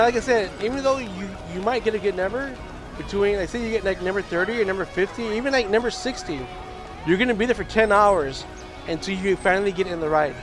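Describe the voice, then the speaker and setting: A high-pitched man streams a loose, rambling chat, his voice scratchy and nearly gone. He restarts his sentences often as he talks. Game music plays clearly behind him. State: hoarse, losing his voice. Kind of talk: casual livestream chat. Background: video game music.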